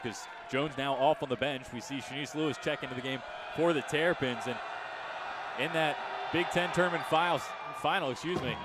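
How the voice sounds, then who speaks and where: A man doing live radio basketball play-by-play, projecting excited, rapid-fire calls. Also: on the high side. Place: courtside in a basketball arena. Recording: loud arena crowd noise behind. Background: crowd, bouncing ball.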